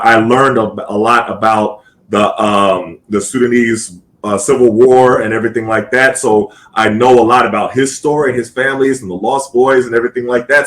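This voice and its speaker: A man's voice: medium pitch, a bit bright. A man hosting an interview podcast, asking long, earnest questions with many fillers. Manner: earnest, lots of fillers and restarts. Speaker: podcast host.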